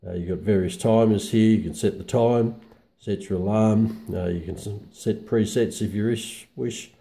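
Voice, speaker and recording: A man with an Australian accent giving a casual, unscripted hobbyist review, speaking haltingly with frequent hesitant pauses. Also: lowish voice, fairly even intonation, mellow.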